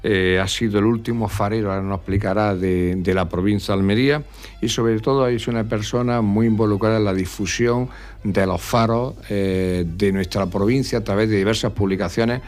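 A man hosting a weekly history segment on radio, asking halting, friendly questions. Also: fairly low pitch, fairly even intonation, darkish tone.

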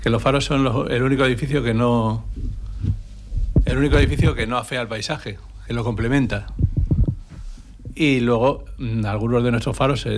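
A man from Spain, a former lighthouse keeper, answering radio interview questions in a relaxed, hesitant way. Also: medium pitch, a little hiss or hum. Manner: relaxed, anecdotal, many hesitations. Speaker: guest, retired lighthouse keeper.

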